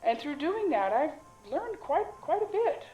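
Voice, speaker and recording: A woman plays a warm, shrewd caravan cook in an audio drama. Her pitch swings freely as she talks in a folksy, knowing way, in an echoey space with music behind. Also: very animated, a little slow. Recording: roomy echo, music underneath.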